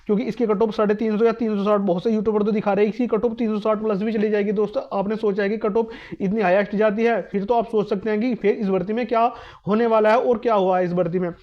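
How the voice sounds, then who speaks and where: High, rapid, flat-toned young man. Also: muffled recording. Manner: repetitive, emphatic, advising students directly. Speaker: exam coaching YouTuber. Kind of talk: fast explainer talk to the camera.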